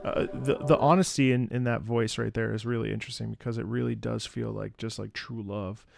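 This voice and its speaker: A man giving casual, candid reaction commentary as a host, thinking aloud in a halting, reflective way. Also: fairly low pitch, steady tone, vocal fry, a little mellow, soft background music. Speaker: host, opera singer reacting to a game.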